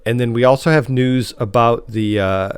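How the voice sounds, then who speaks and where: A man's voice: medium pitch, normal speed, clear, resonant tone. An American man from Chicago co-hosting a podcast in casual conversation, informal and a little hesitant as he circles back mid-thought. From Chicago, United States. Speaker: podcast co-host. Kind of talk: casual chat about TV news.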